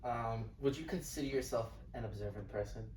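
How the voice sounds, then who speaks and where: Young male host, mid-pitched, halting questions, echoey room with the mic a bit distant. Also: a little mellow, slight background noise. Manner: hesitant, stumbling, rephrasing questions. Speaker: podcast host, former student. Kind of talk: casual interview questions.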